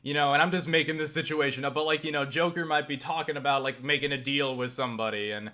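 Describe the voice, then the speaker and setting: A man rambling through a casual vlog monologue, halting and circling back on himself, with casual swearing. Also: fairly high pitch, fairly even intonation, bright, forceful tone. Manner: halting, self-interrupting, circling back with repeated "you know"; casually profane. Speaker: YouTube vlogger.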